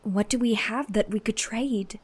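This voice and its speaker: A woman's voice: mid-range voice, measured pace, husky. A woman playing a practical, resolute female cleric in a fantasy audio drama. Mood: resigned.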